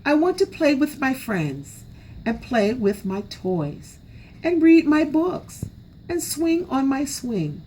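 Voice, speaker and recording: A small, anxious boy raccoon from a picture book, played by a woman reader. The voice is raised high and swings up and down in a childlike, plaintive way, full of curiosity. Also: very animated, takes it slowly, a little mellow, slight background noise, exaggerated voice, rough recording. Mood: pleading, upset.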